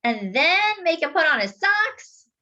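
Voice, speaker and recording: A woman voicing a bossy, disapproving young girl, an older sister in a storybook, speaking with drawn-out emphasis. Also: extremely high pitch, sing-song, sharp tone, dull audio, pitched above the performer's own voice, exaggerated voice. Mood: scheming. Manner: emphatic, drawn-out scolding and appeals.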